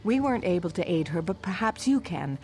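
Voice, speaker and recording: A woman's voice: fairly low pitch, sing-song, a little fast, darkish tone, a little hiss or hum. An actress playing a reverent woman of a forest sisterhood, a worldly former soldier, speaking earnestly and formally in a fantasy video game. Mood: earnest, grave. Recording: music behind the voice.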